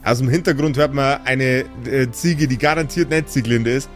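A man's voice: medium pitch, normal speed, soft background music. A man as game master, improvising the narration of a fantasy tabletop game.

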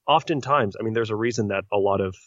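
A man's voice: on the low side, quick pace, a bit bright, dry recording. A man who is a voice-actor guest on a podcast, talking earnestly and at length. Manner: earnest, talkative, explains at length. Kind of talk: casual podcast interview. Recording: dry, muffled lo-fi sound.

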